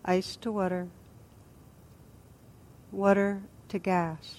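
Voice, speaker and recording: A woman with a mid-pitched voice leads a guided meditation as a teacher. She speaks gently and soothingly. Her phrases are unhurried, with long, restful pauses between them. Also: level tone, a little hiss or hum. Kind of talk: guided body scan meditation.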